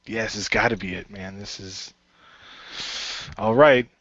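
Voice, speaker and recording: A man's voice: on the low side, measured pace, a bit bright, no room sound, highs cut off. A man playing a role-playing game, mixing casual talk in character with improvised narration.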